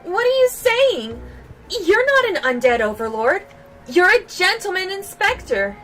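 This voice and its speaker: A woman's voice: very high-pitched, big pitch swings, measured pace, a bit bright, soft background music, exaggerated voice. A woman voicing an eager, naive young female assistant in a game, bubbly and earnest.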